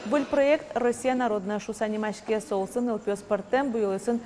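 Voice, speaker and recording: News lady reading a script, kinda high voice, long echo tail, faint hiss. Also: moderate pace. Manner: steady, even newsreader delivery. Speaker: news anchor. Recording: long reverb, faint noise.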